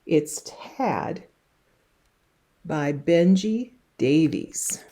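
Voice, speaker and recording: A woman reading a picture book to young children in an expressive, dramatic way, with hushed passages and emphatic words. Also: low voice, drawn-out words. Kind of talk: expressive children's story read-aloud.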